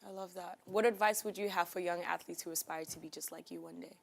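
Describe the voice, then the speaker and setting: A woman's voice: fairly low pitch, fairly even intonation, fast, gravelly. A young woman hosting a sports interview, reacting with enthusiasm. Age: young adult. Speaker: interview host. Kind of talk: scripted interview.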